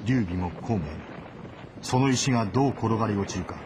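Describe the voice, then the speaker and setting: A man's voice: fairly low pitch, a little fast, noisy background. A man delivering dramatic scripted narration of a story as its narrator. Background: loud music.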